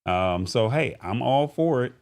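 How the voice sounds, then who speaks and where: A man's voice: medium pitch, lively intonation, a little slow, darkish tone. A man hosting a live-streamed talk show in an informal, conversational way, speaking directly to viewers. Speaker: show host.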